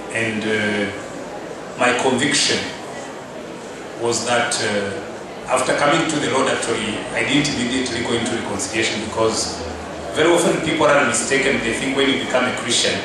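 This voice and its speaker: A man in his fifties from Rwanda, speaking slowly and with many pauses as he gives a personal testimony at a press briefing. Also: medium pitch. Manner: measured, pausing with frequent "uh". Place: press conference room. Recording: very echoey room, mic far off, loud background noise.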